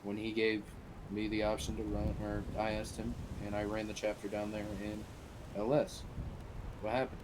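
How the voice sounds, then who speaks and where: A man roleplays a commanding faction leader in an online survival game. He has a lowish voice and speaks deliberately, lecturing with profanity. The amateur voice-chat recording is clearly noisy. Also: monotone, natural voice. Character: stern male boss of a survivor faction, commanding.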